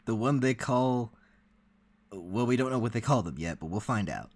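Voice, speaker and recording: A man's voice: mid-range voice, animated, moderate pace, a little mellow. A man hosting a reaction and giving casual running commentary. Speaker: reaction host.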